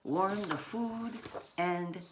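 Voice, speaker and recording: A woman who writes children's books, reading aloud and chatting in a storytime style, with deliberate, emphatic phrasing. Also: mid-range voice, slight background noise. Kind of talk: storytime read-aloud with informal asides.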